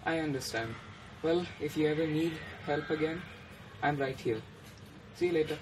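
A young performer voicing a gentle old man who coaxes hesitantly, with many fillers. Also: medium pitch, normal speed, voice pushed down, lightly acted. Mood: coaxing. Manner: coaxing, hesitant, full of fillers. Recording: distant mic with clear background noise.

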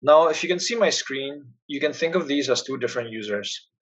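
A man giving a plain, instructional step-by-step narration as a software tutorial presenter. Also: mid-range voice, lively intonation, brisk, slightly rough.

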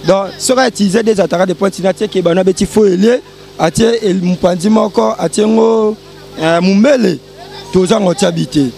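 A young man with a Congolese accent gives halting, rambling football views on the street. His voice is high and caught by a reporter's handheld mic outdoors. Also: vocal fry, darkish tone, soft background music. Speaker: interviewee, football fan.

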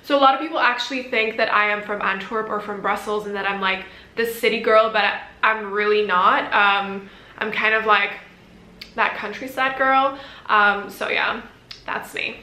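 A woman's voice: medium pitch, normal speed, echoey room, poor audio. A woman in her twenties from Belgium whose first language is Dutch, chatting casually to camera in a question-and-answer vlog, gesturing as she talks. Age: 20s. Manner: chatty, animated hand gestures. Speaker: vlogger. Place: bright room at home, indoors.

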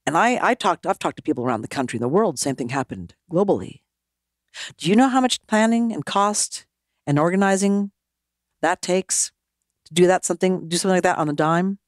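A woman, a former mayor, telling her story as a podcast guest in an animated way, often acting out what people said. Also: lowish voice, brisk, no echo, clean studio sound. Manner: animated, acts out quoted dialogue. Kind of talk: storytelling conversation.

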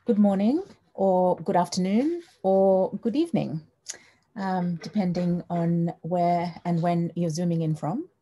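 A middle-aged woman from Australia opens an online talk over a headset on a video call, her voice low-pitched and very mellow. She speaks in a measured, welcoming way, with frequent ums. The sound is that of a small wood-panelled room.

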